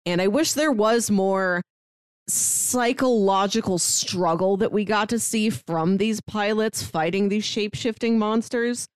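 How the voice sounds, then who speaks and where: A woman's voice: medium pitch, measured pace, clear, resonant tone, no echo. A woman co-hosting a podcast, talking casually through a review and sometimes laughing lightly as she speaks. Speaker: podcast co-host. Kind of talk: casual conversational book review.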